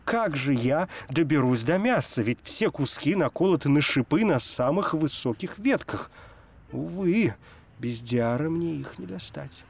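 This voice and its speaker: Man putting on an angry greedy jackal, raised pitch. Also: animated, a little slow, gravelly, slight background noise. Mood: wailing, despairing. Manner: snarling, grumbling, demanding. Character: angry greedy male jackal from a folk tale, growling.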